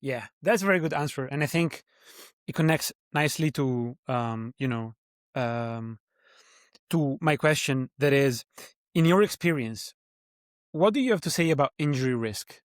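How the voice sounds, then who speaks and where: A man hosting a podcast, asking a guest questions in a measured way with some hesitant ums. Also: mid-range voice, dry recording, studio quality. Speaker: podcast host. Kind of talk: interview questions.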